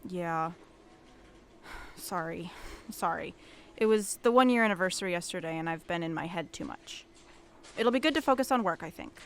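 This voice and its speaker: A woman's voice: lowish voice, animated, normal speed, long pauses, a little hiss or hum. A woman playing a wry, weary police detective in an audio drama, questioning in a dry, probing manner. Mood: weary, preoccupied.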